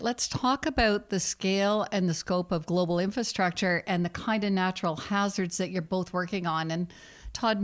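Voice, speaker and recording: A woman's voice: lowish voice, dry recording, clean studio sound. A woman hosting a podcast interview, introducing guests and asking questions in a composed, scripted manner. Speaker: podcast host.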